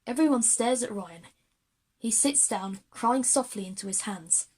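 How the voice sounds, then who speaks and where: A woman narrates an audio drama from a script. Her voice is fairly high with a breathy, husky texture, setting out scenes in the present tense. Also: lively intonation, unhurried, darkish tone.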